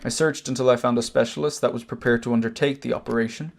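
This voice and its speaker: A man acts out a wronged Irish brother in an amateur drama. His voice has a gravelly creak at a middling pitch. He lays out old grievances deliberately and pointedly, with bitter restraint. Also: steady tone, natural voice. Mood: hurt, resolute. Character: wronged older emigrant brother, cancer survivor, measured, bitter. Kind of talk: amateur stage drama acting, long monologue.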